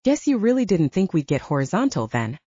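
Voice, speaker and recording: A woman's voice: fairly low pitch, sing-song, a little fast, dark tone, husky, no echo, dull audio. A woman voicing a candid, playful young woman, the heroine of an audiobook romance. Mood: teasing.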